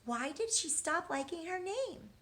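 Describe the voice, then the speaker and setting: A woman's voice: very high voice, very animated, unhurried, fairly bright tone. A woman leading an interactive read-aloud and lesson for young children, asking questions and answering them in an encouraging, reassuring way.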